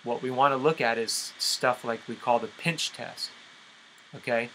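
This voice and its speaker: A man with a bright, forceful voice leads a group conference call as a fitness coach. He explains things step by step and often checks that listeners follow. There is faint noise on the recording. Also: mid-range voice, a little slow, low-quality recording. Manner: explanatory, checks understanding with frequent okay. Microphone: conference call line.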